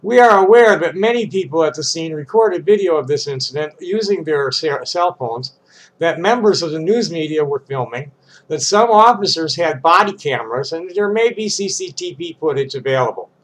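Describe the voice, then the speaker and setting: A man's voice: a little high, expressive, moderate pace, rough recording. An older man with a congested voice, reading a statement aloud and adding casual asides. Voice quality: congested, stuffy. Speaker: vlogger. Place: small cluttered room indoors.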